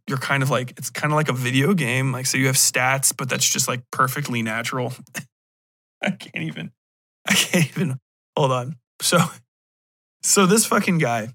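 A man's voice: mid-range voice, sharp tone, dry recording, clean studio sound. A man hosting a podcast, giving a casual, rambling review in a profane, sardonic, incredulous manner. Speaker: podcast host.

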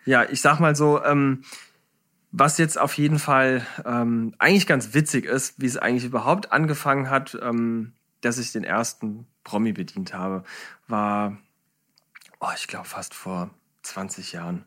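A man's voice: medium pitch, steady tone, a little slow, bright, forceful tone. A middle-aged man, a podcast guest, telling anecdotes in a casual, somewhat hesitant way. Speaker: podcast guest, celebrity hairstylist. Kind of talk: casual anecdotal interview chat.

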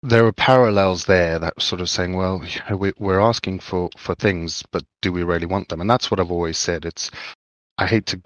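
A man from Zimbabwe, co-hosting a podcast, talks in a halting, thoughtful way and often repeats his words. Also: low voice, steady tone, no echo. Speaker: podcast co-host. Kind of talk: casual chat.

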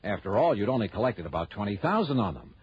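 Husky, sardonic radio private investigator. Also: male voice, fairly low pitch, very animated, fast talker, full character voice. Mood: sardonic, accusing. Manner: sardonic, blunt. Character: sharp, sardonic male insurance investigator, tough and accusing. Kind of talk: 1960s radio detective drama acting. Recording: muffled old 1960s radio recording.

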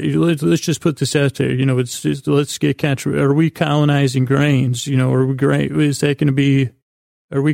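Creaky-voiced guy doing a sleepy bedtime podcast, super mellow, trips over his words and wanders off on silly tangents. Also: mid-range voice, dry recording, studio quality. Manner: meandering, halting, digressive, stammering, whimsical; lulling, soothing tones. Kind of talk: rambling sleep-aid monologue, bedtime story intro.

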